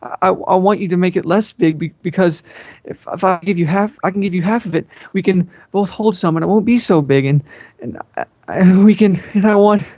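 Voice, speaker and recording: Overwrought lovesick young woman, played by a man, high voice, stammering pleas, amateur fan fiction reading, dull sound. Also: fairly quick, a little mellow, no echo. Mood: overwhelmed, pleading. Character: anguished, pleading young woman in love.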